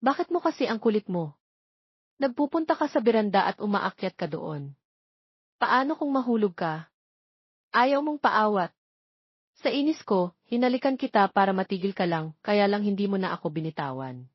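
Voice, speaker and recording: A woman's voice: mid-range voice, animated, moderate pace, pauses often, breathy edge, dry recording, dull audio. A woman voicing an apologetic, earnest young bachelor who pleads and explains, in a romance audio story. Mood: explaining, defensive.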